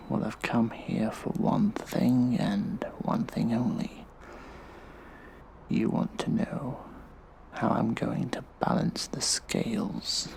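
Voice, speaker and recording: Man acting as a menacing hacker, crackling fry-heavy voice, drawn-out hushed delivery, theatrical, audio drama. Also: medium pitch. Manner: hushed, breathy, halting, mock-playful. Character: smug, theatrical male hacktivist vigilante, mischievous and menacing.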